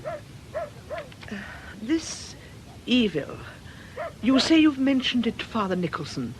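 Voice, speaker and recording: A woman's voice: high-pitched, huge pitch swings, slow, noisy background, heavily acted. A woman playing a busy, sceptical parish sister in a radio drama, polite but firm.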